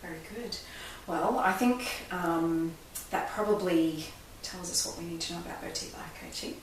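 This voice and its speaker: An upbeat, chatty woman hosts an interview in a lowish voice. She sounds far from the mic in a very echoey room, with faint hiss behind. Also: normal speed. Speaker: host. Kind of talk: interview hosting.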